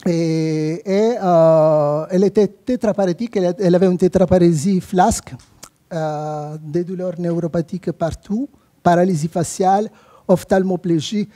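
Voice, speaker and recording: A man's voice: high-pitched, steady tone, normal speed, warm tone. A man with an Italian accent, a rehabilitation physician presenting a clinical case at a conference, speaking hesitantly with many fillers and restarts.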